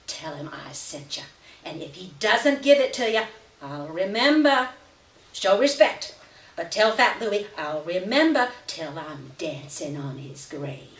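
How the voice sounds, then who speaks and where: A fierce, bossy mother played by a woman reading aloud lays down the law with emphatic, menacing commands. The voice is fairly low and unhurried, heard from a distance in an echoing room with some noise. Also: sing-song. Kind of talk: live short story reading. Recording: roomy, distant live reading with background noise.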